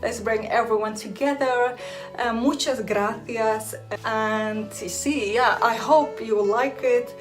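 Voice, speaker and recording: A chatty young woman vlogger from Iraq, first language Aramaic, talking straight to her viewers in a fairly high voice. She breaks into little laughs between phrases. Faint music plays softly behind her. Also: slow pace. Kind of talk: casual direct-to-camera chat.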